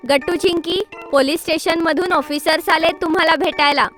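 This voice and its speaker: A woman voicing a gentle, slightly anxious mother with a polite, caring manner in a children's cartoon. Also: very high voice, steady tone, normal speed, voice pushed up. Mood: polite, calling. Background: music.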